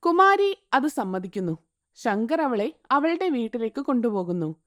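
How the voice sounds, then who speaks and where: A woman narrating a scripted moral story in an expressive, sing-song way. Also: a little high, moderate pace, no echo, studio quality.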